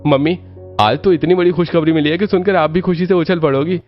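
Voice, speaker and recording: A man's voice: on the high side, steady tone, fairly quick, highs cut off, faint music behind, voice pushed up, natural voice. A man voicing the eager grown son of a village family in a cartoon story. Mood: excited.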